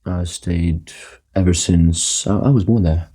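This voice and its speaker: A young man from Egypt, raised in Qatar, answering interview questions softly and hesitantly, often repeating words. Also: deep voice, measured pace, breathy edge. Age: young adult. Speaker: guest. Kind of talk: casual interview talk.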